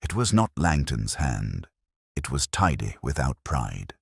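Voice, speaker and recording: Male audiobook narrator for literary crime fiction; rumbling bass, animated phrasing, close dry recording. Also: a little slow, gravelly, breathy edge, dry recording. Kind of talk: scripted literary mystery narration.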